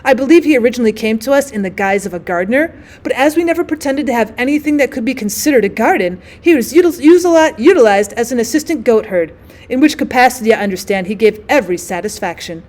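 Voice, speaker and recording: A woman's voice: fairly high pitch, expressive, fast talker, darkish tone, natural voice. A woman voicing a genteel, indignant, drily witty lady who tells her mishaps in a wordy, emphatic way for a story reading. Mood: drily haughty.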